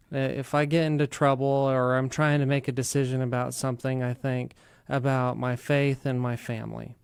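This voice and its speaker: A man's voice: mid-range voice, darkish tone, smooth, dry recording. Male radio host, clean resonant tone in a dry studio sound, chatting and interviewing conversationally.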